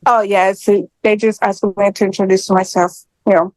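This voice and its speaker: A woman's voice: medium pitch, flat delivery, darkish tone, dry recording. Woman, 20s, native Portuguese speaker, hesitant, dry close sound, video call. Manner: hesitant, halting learner's English. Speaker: student giving public comment.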